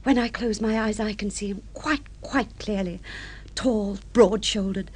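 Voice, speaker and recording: An actress playing a middle-aged social-climbing wife and fantasist in a radio drama, with a gushing, theatrical, affected manner. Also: female voice, mid-range voice, steady tone, measured pace, a little mellow, husky. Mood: dreamy. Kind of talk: mid-century radio drama acting.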